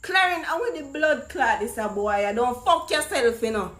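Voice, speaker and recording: A man's voice: very high voice, animated, moderate pace, pushed and bright, exaggerated voice. A man voicing an indignant, pushy young Jamaican woman on a prank phone call, demanding answers and swearing. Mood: indignant, angry.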